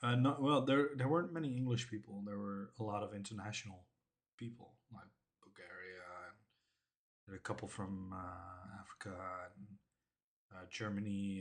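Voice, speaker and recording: A man with a low, rather flat voice chats on a casual podcast in English, his underneath. He wanders off on tangents and pauses to hunt for the right words. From Netherlands. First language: Dutch. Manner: tangential, laughs at himself, searches for words.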